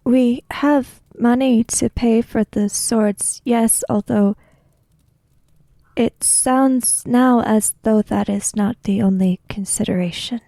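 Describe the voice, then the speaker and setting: A woman voicing a composed, formal samurai in a tabletop game, measured, logical and direct. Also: fairly high pitch, steady tone, vocal fry, mellow, no room sound. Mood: calm, probing.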